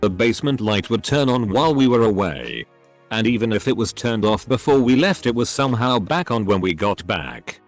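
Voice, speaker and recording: Low-voiced man narrating online stories. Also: moderate pace, faint music behind. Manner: reads short stories back to back in an even storytelling delivery.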